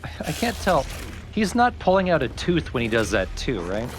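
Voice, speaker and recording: A man's voice: a little high, huge pitch swings, moderate pace, a little hiss or hum. A man co-commentating a video game in casual, joking banter. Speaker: let's play co-commentator. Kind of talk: casual joking chat over gameplay.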